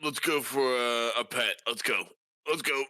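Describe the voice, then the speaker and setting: A man's voice: mid-range voice, measured pace, bright, forceful tone. A man playing a tabletop roleplaying game, chatting casually in and out of character.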